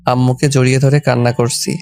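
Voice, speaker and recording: A man's voice: mid-range voice, level tone, brisk, darkish tone, slight background noise. A man reading a scripted first-person story as its narrator and lead character. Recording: background music under the voice. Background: music.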